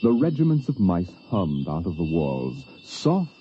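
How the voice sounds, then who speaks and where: A man narrating a 1950s radio drama in a grave, theatrical way with deliberate phrasing. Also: low-pitched, big pitch swings, dark tone, a little hiss or hum. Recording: muffled vintage radio, music behind.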